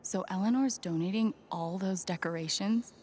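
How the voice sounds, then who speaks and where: A woman playing a gentle, thoughtful young game heroine in a soft, understated, naturalistic way. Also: medium pitch, moderate pace, a little hiss or hum, lightly acted. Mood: curious. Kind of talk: naturalistic video game acting.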